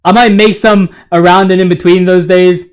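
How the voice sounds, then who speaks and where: High-pitched man, hyped gaming rant. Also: level tone, normal speed, smooth. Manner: hyped, slangy ranting with emphatic repetition. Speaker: YouTube video host. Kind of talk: casual opinionated gaming commentary.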